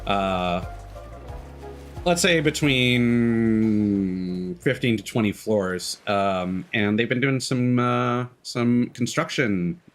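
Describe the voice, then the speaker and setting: A man livestreaming a video game, chatting casually in a rambling, halting way with long pauses. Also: mid-range voice. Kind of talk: casual chat while playing a game. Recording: faint game music behind. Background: game music.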